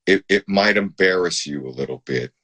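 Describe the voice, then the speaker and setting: A man's voice: deep voice, normal speed, a bit bright, husky. A man hosting a casual live-stream interview, talking in an admiring, emphatic way. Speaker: host.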